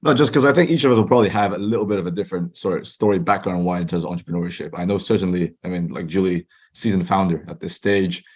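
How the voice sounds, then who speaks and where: A young man, a startup co-founder, telling his story as a guest in a fast, chatty way. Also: low-pitched, steady tone, a little mellow, husky, dull audio. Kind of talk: casual interview chat.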